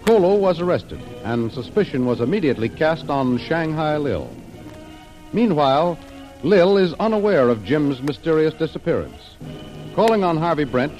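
Higher-pitched American man, vintage radio announcer. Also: darkish tone, low-quality recording. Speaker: announcer and narrator. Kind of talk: dramatic scripted 1930s radio narration.

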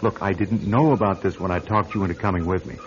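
An actor playing an eager, excitable struggling actor and young husband in an animated, emphatic radio drama style. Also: male voice, deep voice, quick pace, natural voice. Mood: eager, excited. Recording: dull, noisy radio recording.

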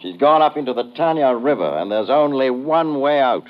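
An actor playing a composed, businesslike male colleague in a 1950s radio adventure, crisp and matter-of-fact. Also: mid-range voice, animated, moderate pace, close to an everyday voice. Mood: urgent report. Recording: muffled radio recording, boat engine noise.

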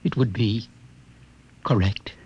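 An actor playing a proud, wounded mutant rebel in a science fiction radio drama, speaking in earnest, declamatory oratory. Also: male voice, on the low side, slow pace, a little mellow, muffled recording. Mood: tender, intense. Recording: old radio recording, long hall reverb.

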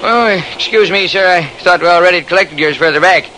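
Deferential radio-drama conductor, earnest, eager, high-pitched, intensely bright, faintly noisy old recording. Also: male voice, a little fast. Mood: apologetic. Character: earnest, deferential male train conductor explaining himself.

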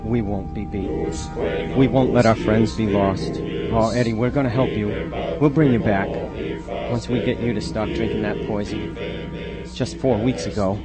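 A man's voice: on the low side, fairly even intonation, moderate pace, over loud music, close to an everyday voice. A man acting a fervent, determined loyal friend in a spooky live radio drama.

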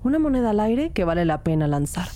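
A woman playing a composed, reflective police detective who narrates a crime audio drama in a measured, dramatic way. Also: medium pitch, sing-song, warm tone, slight background noise, close to an everyday voice. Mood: resolute. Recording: music bed under the voice. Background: dramatic music.

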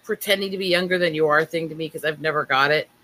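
A woman's voice: deep voice, quick pace, bright, forceful tone, low-quality recording. A woman in a casual group chat, teasing and sassy in how she talks.

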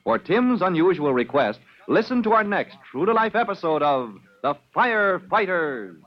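A man's voice: high voice, very animated, moderate pace, a little hiss or hum. Man announcing a radio drama. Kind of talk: scripted radio narration. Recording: dull old radio recording.

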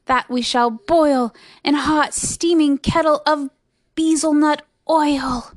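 A woman's voice: high-pitched, steady tone, slow, no echo, clean studio sound, voice pushed up. A woman voicing a big, bossy, scornful kangaroo from a storybook, snapping and scolding haughtily. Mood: threatening. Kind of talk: storybook character voice.

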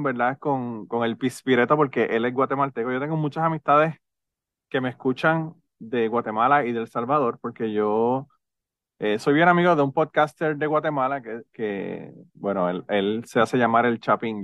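Male podcast host, Puerto Rican accent, mid-pitched voice, dry sound, informal and talkative. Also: a bit bright, no room sound, highs cut off. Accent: Puerto Rican Spanish. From Puerto Rico. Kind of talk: casual conversational interview.

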